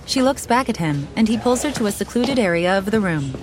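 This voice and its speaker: A woman reading scripted audio description in a measured, neutral way. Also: mid-range voice, expressive, noisy background. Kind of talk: scripted narration. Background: music.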